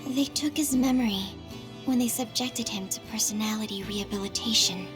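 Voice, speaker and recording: A woman's voice: medium pitch, fairly quick, over music, lightly acted. A woman playing a determined young soldier in a video game, earnest, emotional and loyal to friends. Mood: worried. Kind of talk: anime-style video game cutscene acting.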